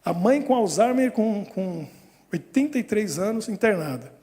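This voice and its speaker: Brief: pastor, high mellow voice, chuckling storyteller, reverberant hall. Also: male voice, moderate pace. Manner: lively storytelling with frequent chuckles. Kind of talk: sermon. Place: church hall.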